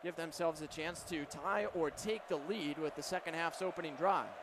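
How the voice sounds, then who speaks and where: A man doing live football play-by-play for radio, giving crisp, detailed calls of each situation. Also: fairly high pitch, normal speed. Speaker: play-by-play announcer. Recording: clear stadium noise behind.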